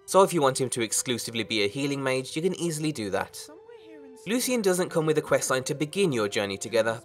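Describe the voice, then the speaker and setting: A man narrates a scripted video game review as its host. He moves along quickly with orderly presenter phrasing at a medium pitch. Music plays softly underneath.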